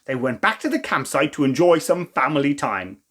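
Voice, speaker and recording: A man reading a children's story as a storytime host, with expressive, theatrical emphasis and asides to the listeners. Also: on the high side, fairly quick. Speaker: storytime reader.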